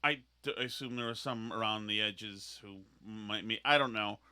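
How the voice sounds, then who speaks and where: A man hosting a podcast, ranting in an animated, sarcastic, mocking way. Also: lowish voice, normal speed, clear, resonant tone. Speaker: podcast host. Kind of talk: opinionated podcast rant.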